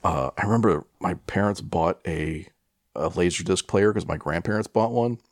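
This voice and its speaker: A middle-aged American man with a low voice, recorded dry and close. He chats casually as a podcast co-host, wandering through his stories with stops, restarts and plenty of swearing. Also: dry recording. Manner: profane, rambling, halting. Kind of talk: casual chat, rambling storytelling.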